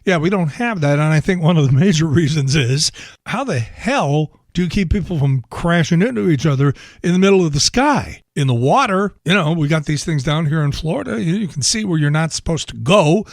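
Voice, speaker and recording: Hoarse middle-aged host. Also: male voice, on the high side, animated, a little fast, dry recording, clean studio sound. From south side of Chicago. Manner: rambling, wry, chuckling asides. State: hoarse from laryngitis. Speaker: radio show host. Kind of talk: casual talk monologue.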